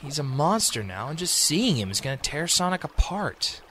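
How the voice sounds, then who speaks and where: A man's voice: fairly high pitch, very animated, normal speed, a bit bright, slight background noise. A man playing a worried, protective male echidna guardian in an amateur radio drama. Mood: worried, insistent.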